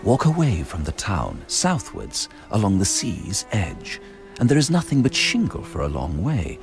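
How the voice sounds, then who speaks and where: An actor playing a brooding male narrator, giving a measured, atmospheric reading of an old ghost story in a radio drama. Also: lowish voice, wildly swooping pitch, creaky, music in the background, exaggerated voice.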